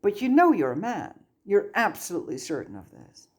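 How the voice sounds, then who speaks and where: A woman voicing a stern but fond male teacher in a story reading, firm, measured and dryly patient. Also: medium pitch, very animated, pitched above the performer's own voice. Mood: patient, kind.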